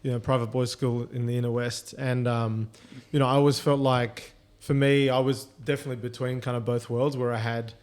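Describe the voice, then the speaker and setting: A man's voice: mid-range voice, level tone, fairly quick, a little mellow. A man with an Australian accent, a podcast co-host telling stories in a casual, rambling way with lots of fillers. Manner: rambling, filler-heavy storytelling. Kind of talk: casual chat.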